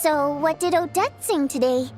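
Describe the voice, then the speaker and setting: A woman voicing a proud, touchy young theater singer in a video game, measured and pointed. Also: high voice, darkish tone, lightly acted. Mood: hurt, accusing. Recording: music under the voice.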